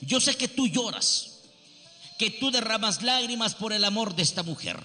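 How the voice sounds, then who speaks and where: A man's voice: sits high, animated, normal speed, pushed and bright. A man hosting a radio healing show, talking in an emphatic, preachy and repetitive way. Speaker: radio faith healer host. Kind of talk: radio healer consultation. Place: radio studio. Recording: radio broadcast with reverb and music.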